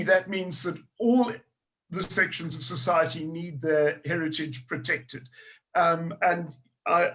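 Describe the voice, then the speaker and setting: A man's voice: on the high side, a bit bright, poor audio. A middle-aged man with a South African accent, a historian speaking as a guest in a panel discussion, earnest and thoughtful, often restarting his phrases. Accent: South African English.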